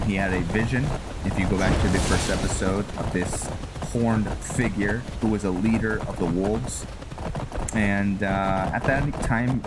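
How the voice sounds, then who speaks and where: A man with a fairly low voice narrates his roleplay playthrough in a casual way. He thinks aloud in short, halting phrases. Loud game sounds and ambience run behind his voice. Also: very noisy. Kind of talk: casual gameplay commentary. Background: video game sounds, outdoor ambience.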